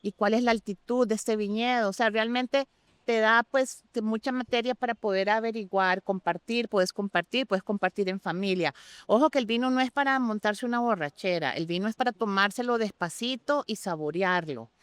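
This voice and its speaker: An expressive woman speaks as an interview guest. Her voice is smooth and clean, recorded close and dry. She explains things with open enthusiasm, in a conversational way. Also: mid-range voice, moderate pace, dry recording. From Costa Rica. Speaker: guest sommelier.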